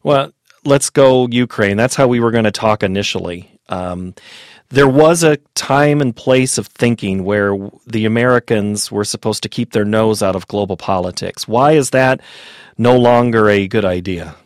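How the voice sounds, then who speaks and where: A man's voice: on the low side, normal speed, dry recording. A male interviewer asks long, rambling questions in a conversational way, often repeating words as he works out his point. The sound is dry and close to the mic. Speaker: host.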